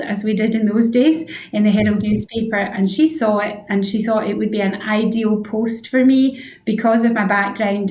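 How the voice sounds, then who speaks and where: A woman of about sixty with a Scottish accent talks as an interview guest. Her pitch is average, and the room around her adds a clear echo. The delivery is conversational. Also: a little mellow. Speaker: interview guest, head physiotherapist. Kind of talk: conversational radio interview answers. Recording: roomy, echoing room sound.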